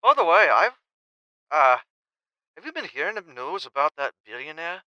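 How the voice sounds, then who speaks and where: A brash champion fighter, acted by a man in an audio drama, with a high, very sharp and forceful voice. He is cocky and blunt, swinging through his pitch in a dry, close recording. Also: big pitch swings, normal speed, clean tone, no echo, highs cut off, full character voice. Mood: uneasy. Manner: loud, cocky, blunt, swearing. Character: brash, foul-mouthed, hard-drinking male champion fighter.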